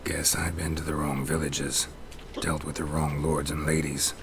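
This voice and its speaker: An extremely low-voiced male monster hunter from a fantasy video game. He is stoic and world-weary, delivering terse, deadpan lines. Clear background noise sits under his voice. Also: moderate pace. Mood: dry, resigned.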